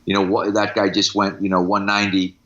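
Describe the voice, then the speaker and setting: Bright-voiced male strength coach, slightly dull audio. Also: low-pitched, monotone, fairly quick. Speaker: strength coach, podcast guest. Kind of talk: casual podcast talk with anecdotes.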